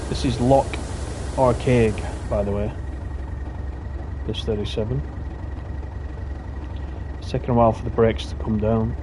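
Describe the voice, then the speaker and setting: A man with a Lancashire accent talks his way through a live-streamed game in a relaxed, rambling manner, trailing off and correcting himself as he goes. His voice sits at a middling pitch. A clear rumble of train engine noise runs steadily behind him. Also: measured pace, pauses often. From Lancashire, England. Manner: chatty, rambling, self-correcting asides. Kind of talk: casual running commentary while playing. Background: simulated train engine and rail sounds.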